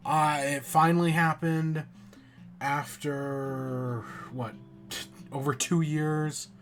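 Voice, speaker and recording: A man streaming a game and chatting casually, with a stuffy nose and a raspy throat from a cold, talking in a rambling, offhand, self-mocking way. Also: fairly high pitch, a bit bright, a little hiss or hum. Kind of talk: casual chat while playing a game.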